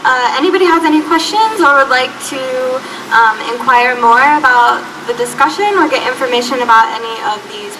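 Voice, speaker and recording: A woman moderating a panel discussion, speaking in a friendly, informative way. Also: high-pitched. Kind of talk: panel hosting. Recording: distant mic, noisy room.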